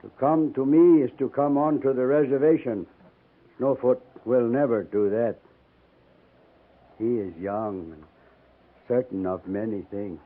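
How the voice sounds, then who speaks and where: A man's voice: mid-range voice, close to an everyday voice. Tinny old-radio dying old chief, played by a man. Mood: grave, reflective. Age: older. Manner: solemn, measured, formal poetic phrasing. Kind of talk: 1950s radio drama acting. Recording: narrow tinny 1950s radio recording.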